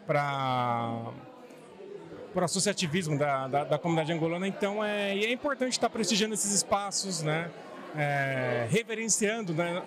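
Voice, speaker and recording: A man, answering an interview politely in halting, measured phrases. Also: sits high. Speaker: interviewed guest. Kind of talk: spontaneous on-camera interview. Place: indoor hall at community event. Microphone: handheld interview microphone held by interviewer. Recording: crowd chatter behind the voice. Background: clear crowd murmur.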